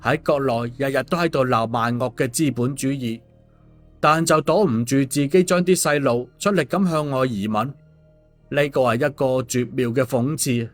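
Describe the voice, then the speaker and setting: A man's voice: mid-range voice, normal speed. Male storyteller over music. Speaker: audiobook storyteller. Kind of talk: scripted story narration, acting out dialogue. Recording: music behind the voice. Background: background music.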